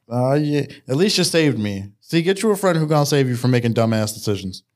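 A man's voice: mid-range voice, moderate pace. A man trading crude, joking banter as a podcast co-host, from the United States. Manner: animated, joking, jumps into mock voices.

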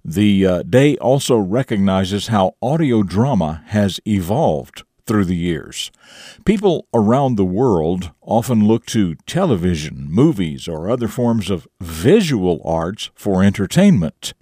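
Animated, husky male radio storyteller. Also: on the low side, a little slow, dry recording, clean studio sound. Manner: folksy, expressive, emphatic. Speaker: radio show host and storyteller. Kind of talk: morning radio talk and dramatic story narration.

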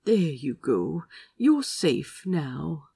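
A woman voices a kindly, fussy gentleman in an audio drama, proper and soft-hearted. The speech is slow and husky, in a close, dry sound. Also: sits low, big pitch swings, dry recording, full character voice. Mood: tender, soothing. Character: gentle, fussy, kindly man soothing a frightened animal. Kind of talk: audio drama acting in fan fiction.

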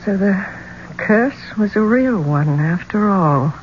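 A woman's voice: medium pitch, lively intonation, slow. An actress playing a bold woman singer in a 1970s radio drama, speaking in a dramatic, emphatic way. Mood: shaken. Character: bold American woman singer cornered in an Egyptian tomb. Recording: muffled, noisy old radio recording.

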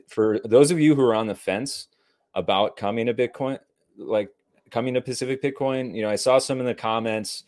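A male guest with a lowish voice, talking earnestly and at length. He rambles and builds up enthusiasm, with a casual swear word here and there. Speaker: podcast guest. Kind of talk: casual live-stream chat.

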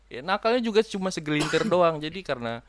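A man's voice: on the high side, very animated, quick pace, background noise. A young man from Kalimantan, Indonesia, chatting casually as a podcast guest, slangy and swearing, often breaking into laughter. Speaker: guest co-host.

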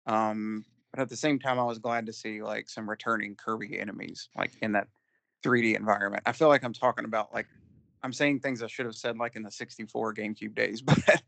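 A man's voice: fairly low pitch, fairly even intonation, fairly quick, creaky, a bit bright, dry recording. A man co-hosting a casual podcast chat, speaking hesitantly with frequent restarts and fillers. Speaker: podcast co-host.

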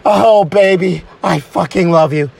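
A performer voicing a needy, sappy male lover who sounds close to crying, halting and pleading, in a comedy parody of romance. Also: mid-range voice, creaky, a little mellow, breathy edge. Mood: weepy, adoring. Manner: halting, pleading, repeats himself. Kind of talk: improvised parody of romantic melodrama.

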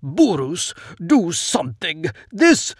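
Guy doing a whiny pompous count, squeaky and swingy, ranting and loud. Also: sing-song, slow pace, slightly rough, dry recording, studio quality, exaggerated voice. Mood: panicked. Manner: indignant, ranting, complaining. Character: blustering pompous male count, nobleman.

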